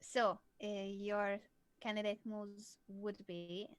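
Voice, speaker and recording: A woman's voice: medium pitch, flat delivery, slow, no room sound. A woman from southern Hungary, patiently explaining chess moves as a coach on a live stream. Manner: clear, patient explaining, laughs easily.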